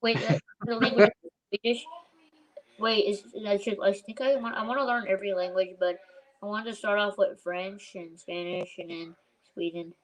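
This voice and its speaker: A woman's voice: medium pitch, fairly even intonation, low-quality recording. Eager woman, curious, trips over her questions in casual video chat. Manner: eager, rapid questions with restarts. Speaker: chat participant.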